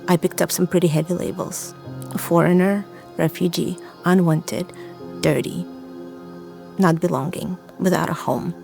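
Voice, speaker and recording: A woman from Azerbaijan and Russia telling her personal story in an earnest, reflective, deliberate way. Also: on the low side, fairly even intonation, creaky, darkish tone, soft background music. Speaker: church member giving testimony.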